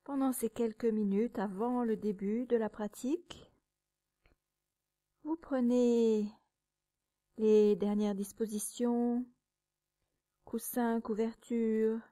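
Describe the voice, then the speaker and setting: A woman teaching yoga nidra, guiding a meditation in a calm, measured, soothing way, with a soft, sometimes breathy voice and pauses between short phrases. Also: a little high. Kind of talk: guided meditation.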